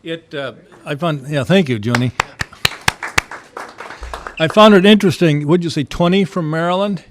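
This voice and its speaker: Halting man chairing an informal meeting. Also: a little high, a little hiss or hum. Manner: hesitant, rambling, polite.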